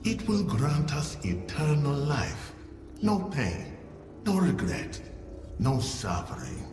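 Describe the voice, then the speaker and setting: An actor playing a solemn visionary leader in a video game, speaking formally and grandly like a prophet of immortality. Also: male voice, a little high, wildly swooping pitch, slow, darkish tone, distant mic, heavily acted. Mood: solemn, prophetic. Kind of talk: video game cutscene acting. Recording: echoey hall from afar, music behind.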